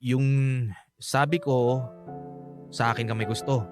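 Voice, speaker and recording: A man's voice: medium pitch, steady tone, unhurried, close to an everyday voice. Cocky but sheepish young Filipino guy played by an actor. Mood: explaining, teasing. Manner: sheepish, teasing, hesitant. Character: Eros, cocky, playful young male friend. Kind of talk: radio drama acting in casual Taglish. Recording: faint music behind the voice.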